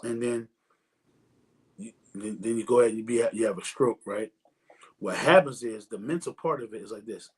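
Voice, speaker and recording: Husky man in his forties, rambling. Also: on the low side, normal speed, pauses often. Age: 40s. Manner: rambling, repeats words while finding his point. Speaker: podcast host. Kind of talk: casual chat.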